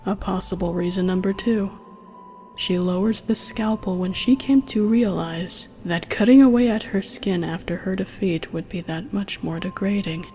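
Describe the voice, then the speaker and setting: A woman's voice: mid-range voice, moderate pace, mellow, slight background noise. A woman reading a scripted story aloud as its narrator. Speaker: narrator and reader.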